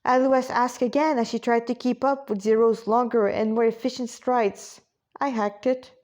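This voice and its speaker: A woman with a fairly high voice narrates a story. Her delivery is informal and homemade, with stumbles, corrections and casual asides to listeners. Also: fairly even intonation, normal speed, darkish tone. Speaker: amateur storyteller reading own fan fiction.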